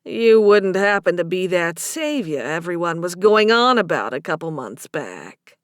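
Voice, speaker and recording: A woman voicing a flirtatious, cagey male bartender and club owner with a Boston accent and a smooth, unhurried way of talking, for an audiobook. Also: fairly low pitch, very animated, no echo, studio quality, voice pushed down. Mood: cagey, teasing.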